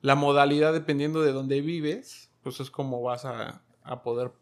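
A man hosting an interview in a conversational way, upbeat and quick with short reactions. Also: mid-range voice, steady tone. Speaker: interview host.

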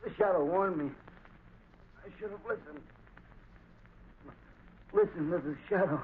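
A man's voice: sits high, wildly swooping pitch, measured pace, vocal fry, full character voice. Faintly noisy, dull vintage radio recording of a gangster's henchman. He is tough yet uneasy and wary, in old 1930s serial style. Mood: uneasy, fearful. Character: uneasy male henchman gunman, wary and superstitious. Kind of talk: 1930s radio drama acting.

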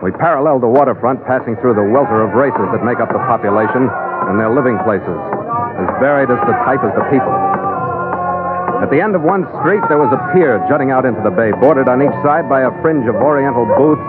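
A man's voice: medium pitch, expressive, fast talker, natural voice. Man acting a hard-boiled sea captain on tinny old radio. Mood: measured storytelling. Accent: American. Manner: clipped, hard-boiled, matter-of-fact. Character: tough, seasoned male sea captain narrating his log. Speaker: lead actor and narrator. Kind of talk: 1940s radio adventure acting, scripted narration. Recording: tinny vintage radio broadcast, loud music.

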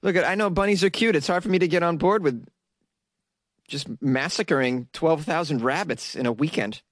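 Male host, casual news riffs, sarcastic and rambling, slightly high voice, dead-dry sound. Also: moderate pace, dry recording. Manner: sarcastic, rambling, jokey asides to viewers. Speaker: solo news commentary host. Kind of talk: casual commentary reading news articles.

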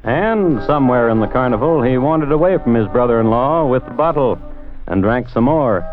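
A man gives dramatic American narration in classic radio style. The intonation is lively and the phrasing measured. The sound is a dull old broadcast recording with music behind. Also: medium pitch, natural voice. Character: male storyteller of a dark, ironic radio tale.

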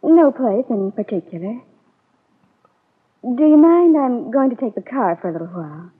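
An actress playing a restless, evasive young niece in a vintage radio soap, brittle but polite. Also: female voice, on the high side, expressive, normal speed, breathy edge. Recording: muffled echoing old radio recording, off-mic.

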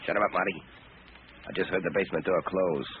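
Actor as fast-talking tough gangster boss. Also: male voice, sits low, fairly even intonation, natural voice. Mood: tense, threatening. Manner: gruff, slangy, barking orders. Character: tough, bossy male gangster, cocky and threatening. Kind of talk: 1930s-40s radio crime drama acting. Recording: tinny, noisy old radio recording.

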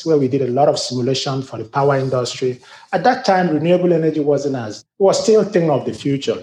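A man's voice: medium pitch, darkish tone, roomy sound, slight background noise. A middle-aged man from Nigeria with a Nigerian accent, a university sustainability director giving earnest, formal interview answers with frequent restarts.

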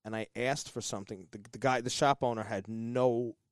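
A man's voice: mid-range voice, steady tone, moderate pace, clean tone, no echo, studio quality. A man co-hosting a podcast, talking emphatically and giving opinionated advice in casual conversation. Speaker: podcast co-host.

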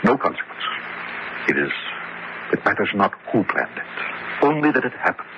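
Pompous, swooping gentleman adventurer. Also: fairly low pitch, big pitch swings, normal speed. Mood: grandiose, nostalgic. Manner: florid, pompous, theatrical delivery. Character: pompous, suave gentleman adventurer, theatrically self-admiring and unflappable. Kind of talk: comic horror radio drama acting. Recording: muffled, noisy old radio drama recording.

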